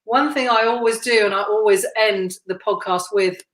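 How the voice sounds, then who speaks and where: A woman hosting a podcast, who chats and laughs easily with her guest and says she has a deep voice. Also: moderate pace. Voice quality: self-described deep voice. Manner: chatty and warm, laughs often. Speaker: podcast host. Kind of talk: casual interview chat. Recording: roomy echo.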